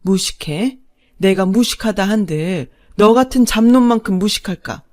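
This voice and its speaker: A woman's voice: mid-range voice, animated, moderate pace, darkish tone, natural voice. A woman voicing a long-suffering older wife and mother, fierce, defiant and coarse-tongued, in a dramatised family story. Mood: bitter fury. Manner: blunt, biting, coarse-tongued.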